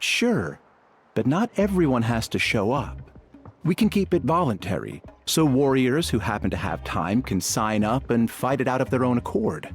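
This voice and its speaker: A man as an affable, expressive game chieftain. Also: medium pitch, close to an everyday voice. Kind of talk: video game character acting.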